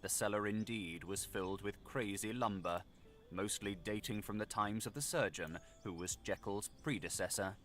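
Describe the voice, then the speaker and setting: A man narrating a gothic audiobook in a measured, literary, dramatic delivery. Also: lowish voice, fairly bright tone.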